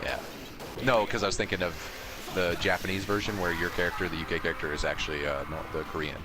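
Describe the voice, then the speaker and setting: A man's voice: sits low, fairly even intonation, quick pace. A young man co-hosting a podcast, chatting casually while playing a video game, rambling with lots of restarts. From Canada. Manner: rambling, with many restarts and fillers. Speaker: podcast co-host. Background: game music.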